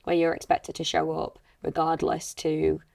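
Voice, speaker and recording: A woman's voice: deep voice, completely flat delivery, moderate pace, vocal fry, darkish tone. A woman chatting casually as a podcast guest, speaking in an earnest, reflective way about her acting work. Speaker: actress being interviewed on a behind-the-scenes podcast, also a teacher. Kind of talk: casual chat.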